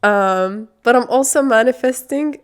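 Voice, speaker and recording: A woman's voice: fairly high pitch, a little slow, smooth. A young woman whose first language is Arabic, co-hosting a podcast in a chatty, enthusiastic way. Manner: chatty, enthusiastic, many fillers and restarts. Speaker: podcast co-host. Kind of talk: casual chat.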